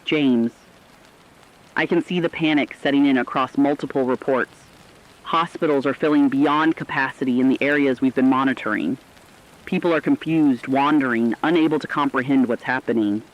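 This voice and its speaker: A man's voice: medium pitch, normal speed, lightly acted. A man playing an alarmed, earnest radio reporter, delivering scripted news in a serious, articulate, emphatic way. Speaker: news correspondent. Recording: dull lo-fi radio sound.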